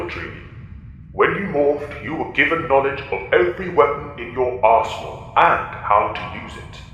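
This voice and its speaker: A solemn, commanding alien sage played by a man speaks formally and gravely in an audio drama. The voice booms through a long, heavy reverb, slightly dull and noisy. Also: fairly bright tone.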